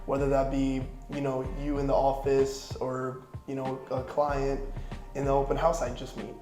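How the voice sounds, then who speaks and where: A young man in his twenties from Florida talks through an interview in an easygoing, rambling way, with lots of "you know". His pitch stays flat and even. It sounds like an echoey room with music playing underneath. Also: normal speed. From Florida, United States. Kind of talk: casual interview answers.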